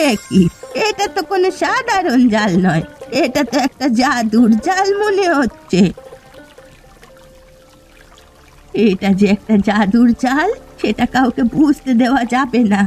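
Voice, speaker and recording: Lady doing a stubborn old granny fisher in a cartoon, high voice, wont budge, faint music. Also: a little mellow. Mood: secretive wonder. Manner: firm, defiant. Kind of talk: Bengali cartoon story voice acting.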